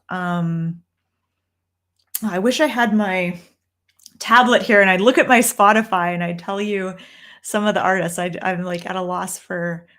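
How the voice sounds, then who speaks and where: A young woman with a Canadian accent speaks in a middle-pitched voice, answering viewer questions in a loose, conversational way. She thinks out loud, trails off and restarts her sentences, dropping in ums as she goes. It sounds like a home livestream recorded in a room downstairs. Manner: chatty, thinks aloud with frequent ums and restarts. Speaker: tarot reader and livestream host. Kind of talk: casual livestream Q&A.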